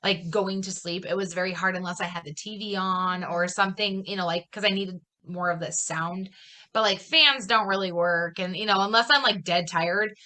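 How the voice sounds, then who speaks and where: A woman's voice: on the low side, fairly even intonation, moderate pace, bright, forceful tone. A woman in casual conversation, explaining things in an informal, rambling way. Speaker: podcast host. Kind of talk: casual chat.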